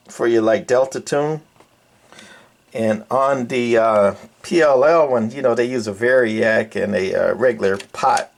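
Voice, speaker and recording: A man's voice: on the low side, creaky. An older man giving a casual, unscripted walkthrough as a radio hobbyist, talking in a rambling, colloquial, folksy way. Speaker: hobbyist radio repairer and video presenter.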